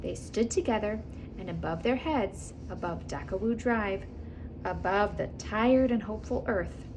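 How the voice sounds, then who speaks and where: A woman reading a children's story aloud in an expressive, animated storytelling manner. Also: mid-range voice, measured pace, background noise. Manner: animated, acts out dialogue and animal sounds. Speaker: children's book reader. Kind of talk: expressive read-aloud storytelling with casual asides.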